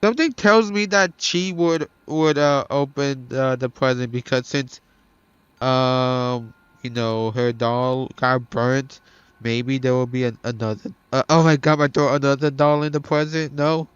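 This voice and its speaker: A man's voice: medium pitch, fairly even intonation, measured pace, smooth, no room sound, dull audio. A man doing casual let's play commentary, thinking aloud hesitantly and swearing now and then. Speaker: gaming streamer.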